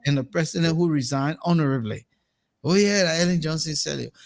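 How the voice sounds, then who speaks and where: A man with a Liberian accent hosts a current affairs show, pressing his points with emphasis and repeated words. His voice sits a little high for a man. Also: fairly quick, vocal fry. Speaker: talk show host.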